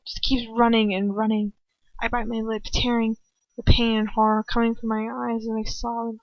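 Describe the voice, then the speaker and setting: A woman reading fan fiction aloud as an amateur, expressive and informal, stumbling over words and correcting herself. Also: a little high, moderate pace, no room sound. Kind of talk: dramatic first-person story narration. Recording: dull, lo-fi home microphone.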